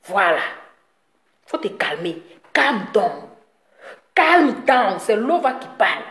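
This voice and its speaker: A woman's voice: medium pitch, very animated, slow, fairly bright tone, roomy sound. A woman giving a ranting commentary, mocking and scolding and laughing often as she talks. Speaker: online commentator.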